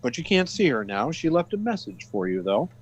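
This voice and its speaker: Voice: mid-range voice, big pitch swings, brisk, husky. A man playing a polite, matter-of-fact hospital desk nurse in an old-time radio comedy. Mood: polite, official.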